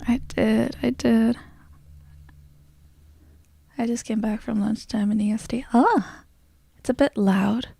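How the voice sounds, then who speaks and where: A woman livestreamer chatting casually in a soft, sleepy ASMR style that often slips toward whispering, close to the microphone. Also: on the high side, steady tone, takes it slowly, gravelly, pauses often, breathy edge, no echo. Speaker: livestreamer greeting chat and hosting a game stream.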